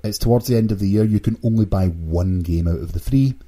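Male host for casual panel chat, lowish register, very mellow tone. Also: brisk. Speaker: podcast host.

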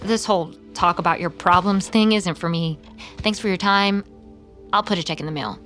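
An actress playing a guarded, sorrowful female vampire in an audio drama, with a measured, introspective, hesitant delivery. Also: on the low side, fairly bright tone, soft background music, lightly acted. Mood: guarded, evasive. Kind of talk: audio drama narration and dialogue.

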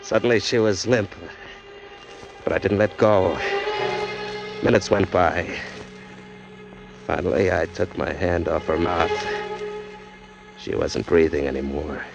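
A man's voice: low voice, slow pace, vocal fry, pauses often, muffled recording, heavily acted. An actor playing a hard-boiled male killer in a 1940s radio drama, tough and clipped, narrating his own crimes. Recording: old radio recording with music.

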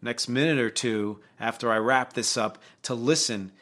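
A man's voice: mid-range voice, moderate pace, a bit bright. A man hosting a music show, talking through songs in a reflective, conversational way and putting questions to his viewers. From not from Glasgow. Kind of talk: casual music commentary to camera.

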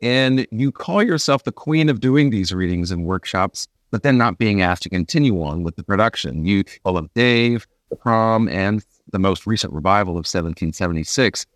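A man's voice: on the low side, lively intonation, moderate pace, hardly any pauses, no room sound, studio quality. A man hosting a conversational interview, talking in an agreeable, sympathetic way as a fellow actor.

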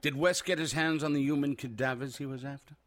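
An actor playing a measured interviewer who questions a doctor in a radio drama. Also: male voice, medium pitch, steady tone, soft background music, close to an everyday voice. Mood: probing. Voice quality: measured and probing.

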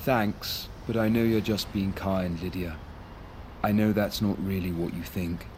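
Audio drama ex-boyfriend: man, awkward, self-deprecating, halting slow speech, faint traffic ambience. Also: sits low, fairly even intonation, a little mellow. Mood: apologetic. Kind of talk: naturalistic audio drama acting.

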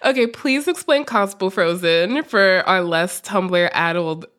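A woman's voice: fairly low pitch, unhurried, a bit bright. A woman hosting a podcast in casual chat, effusive and wry. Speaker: podcast host.

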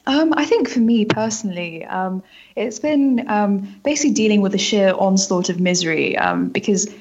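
A woman's voice: medium pitch, animated, normal speed, highs cut off, background noise. A woman reporter giving conversational interview answers in an informal way, often saying "like" and "kind of". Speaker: newspaper reporter being interviewed.

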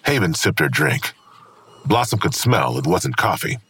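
A deep-voiced male storyteller narrates a crime drama. His voice is extremely husky, and the scripted lines are delivered with lively intonation. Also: measured pace, heavily acted. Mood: measured, observant.

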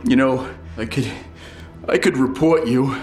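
Male actor as hurt police detective, average pitch, resentful, sarcastic, defiant, audio drama. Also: unhurried, a little mellow, natural voice. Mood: bitter, defiant.

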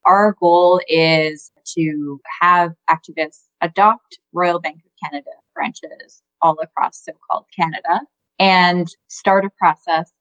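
A woman's voice: lowish voice, a bit bright, no echo, muffled recording. A young adult woman, a campaign organizer, earnestly reflecting on how she came to her activism. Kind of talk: interview answers.

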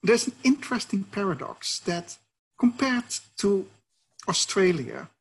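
A man's voice: sits high, big pitch swings, unhurried, husky. A middle-aged man from the Netherlands with a Dutch accent, a professor answering interview questions in a thoughtful, explanatory way. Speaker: professor and researcher.

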